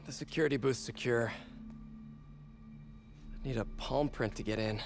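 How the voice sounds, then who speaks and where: An actor playing a young, quippy, curious masked superhero in a video game, with an American accent and casual delivery. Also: male voice, mid-range voice, moderate pace, creaky, natural voice. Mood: focused, curious. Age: young adult. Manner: wisecracking, casual.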